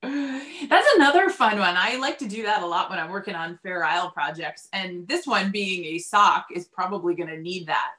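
A woman's voice: medium pitch, normal speed, pushed and bright. A woman in her forties telling stories in a casual video chat as a farm-owner guest. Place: indoors in a yarn shop lined with wool shelves. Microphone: computer mic on a video call.

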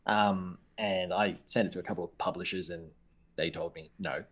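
An Australian man in his thirties chats over a headset video call, his voice sitting a little low. He pokes fun at himself, laughs readily and often restarts his words. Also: fairly even intonation, moderate pace. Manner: self-deprecating, laughs often, repeats words when starting. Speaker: guest author. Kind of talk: casual video-call interview.

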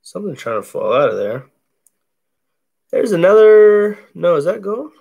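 A man with a deep, bassy voice calmly calls out each baseball card he opens at a table indoors, in a relaxed running commentary. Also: vocal fry. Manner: slow, laid-back play-by-play of each card. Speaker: card break host. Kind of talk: casual card-opening commentary. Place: indoors at a table covered in cards.

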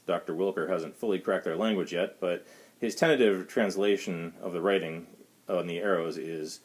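A man in his fifties with a low-pitched voice reads fiction aloud. He narrates as the author of the story, indoors in a small study. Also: fairly even intonation, normal speed. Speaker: author reading own story. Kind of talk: reading a science fiction story aloud, voicing dialogue.

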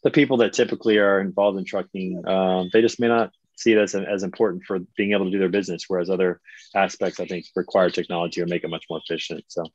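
American guy in his 40s hosting a chat, lowish voice, upbeat, dull video call sound. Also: fairly even intonation, fast talker, a little mellow, no room sound. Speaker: host. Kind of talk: casual chat. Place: indoors at home, on a video call.

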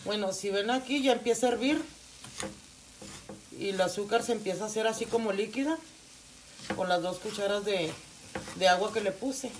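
A middle-aged woman explaining a recipe step by step in her home kitchen, unhurried and plain, with frequent restarts. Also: medium pitch, slight background noise, poor audio. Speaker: home cooking channel host. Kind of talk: casual cooking tutorial.

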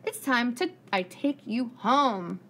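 A woman's voice: on the high side, expressive, slow, fairly bright tone, exaggerated voice. A woman voicing an affectionate, eager little girl from a storybook, fondly scolding. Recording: clearly noisy room recording.

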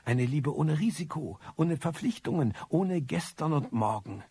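An actor playing a disillusioned, bitter loner, narrating a radio drama in a husky, articulate voice. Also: male voice, a little high, lively intonation, measured pace, mellow, no room sound, heavily acted. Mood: cynical. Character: disillusioned, bitter male loner, obsessive computer eavesdropper.

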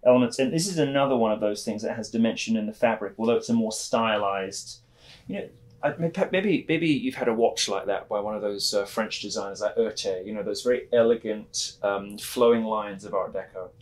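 A man, hosting a shopping show in a casual, lively way, with many restarts, recorded on a studio set with a lapel mic. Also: fairly low pitch, brisk. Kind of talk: casual product chat.

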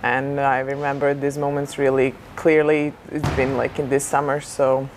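A woman's voice: very deep voice, level tone, moderate pace, background noise. A young woman from Serbia, a Serbian-speaking basketball player, answering thoughtfully in an interview in a large indoor arena on a lapel mic, with many fillers like "like" and "uh.". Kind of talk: casual interview.

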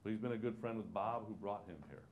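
A man's voice: fairly low pitch, fairly even intonation, quick pace, dull audio, a little hiss or hum. A man acting as host, informally introducing a speaker to an audience in a large auditorium.

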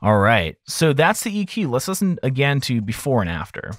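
A man's voice: medium pitch, sing-song, normal speed, fairly bright tone, no room sound, studio quality. A man explaining audio mixing in a casual tutorial style, informal and thinking aloud as he goes.

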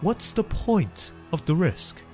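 Skeptical, animated cartoon guy, acted by a man. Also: on the high side, slow, faint music behind. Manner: questioning, dry, challenging. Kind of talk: dramatic comic fandub dialogue.

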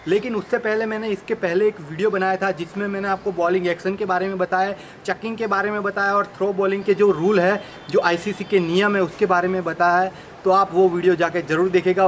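A man in his thirties speaks in a high-pitched voice. He gives step-by-step coaching straight to viewers while demonstrating the moves. The recording is made outdoors on an open rooftop, with light ambient noise behind him. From India. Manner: demonstrating with gestures while talking, addressing viewers directly. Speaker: cricket bowling coach and video host. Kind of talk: instructional explanation to camera.

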